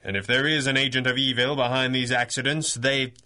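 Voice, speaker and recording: A dashing masked crimefighter from a 1930s radio serial. His voice is bright and forceful at a middling pitch. He speaks formally and dramatically, with stern resolve. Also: level tone, a little fast. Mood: grimly righteous. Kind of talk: 1930s radio adventure acting.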